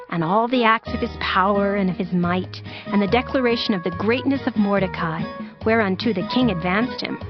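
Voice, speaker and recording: Woman narrating scripture, slightly muffled sound. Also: medium pitch. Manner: formal, measured, clearly phrased. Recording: dull band-limited audio with music bed.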